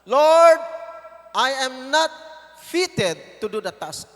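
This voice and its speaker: A man's voice: very high voice, very animated, slow, big-room sound, heavily acted. A man voicing the aged Noah, who humbly pleads with God in an earnest tone. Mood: pleading.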